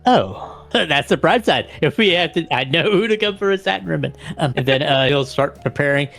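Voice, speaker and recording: A man's voice: on the high side, animated, normal speed, gravelly, a bit bright, faint music behind. A man playing a tabletop vampire game, narrating his character's actions casually and often repeating words as he goes.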